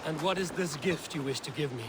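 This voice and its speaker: An actor playing a stoic Viking warrior leader in a video game, talking in a terse, dry and guarded way. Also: male voice, fairly high pitch, a little fast, very noisy, close to an everyday voice. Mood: dry, skeptical. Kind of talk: scripted dramatic game dialogue.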